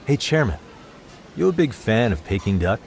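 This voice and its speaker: An actor playing a laid-back, affable private detective in a video game, speaking casually. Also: male voice, fairly low pitch, very animated, normal speed, creaky, a little hiss or hum. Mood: chatty, playful. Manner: casual, easygoing. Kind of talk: video game cutscene voice acting.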